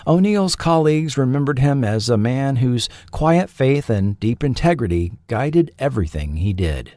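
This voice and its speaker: A man hosting and narrating a scripted documentary in a measured, deliberate way, letting his phrases fall at the ends. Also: medium pitch, sing-song, dark tone, no room sound, clean studio sound. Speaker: podcast host and narrator.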